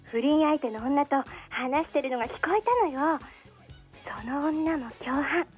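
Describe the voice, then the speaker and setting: A woman's voice: high-pitched, a little slow, darkish tone, faint music behind. An actress playing a flighty, nosy young woman in a radio drama, talking in a casual, girlish, chatty and pleading way. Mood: conspiratorial. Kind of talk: radio drama acting, casual conversational Japanese.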